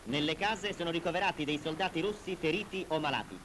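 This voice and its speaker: A man's voice: a little high, fast talker, very noisy. A man giving formal, declamatory narration for a 1940s wartime propaganda newsreel, in a solemn manner. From Italy. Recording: vintage 1940s newsreel soundtrack, loud music. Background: loud music.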